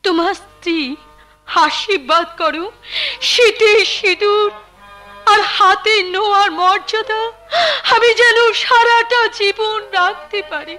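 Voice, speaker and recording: A woman's voice: very high voice, slow, bright, forceful tone, soft background music, full character voice. A woman playing a devoted, distressed young housewife in Bengali folk-theatre melodrama, tearful and pleading in her delivery. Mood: tearful devotion.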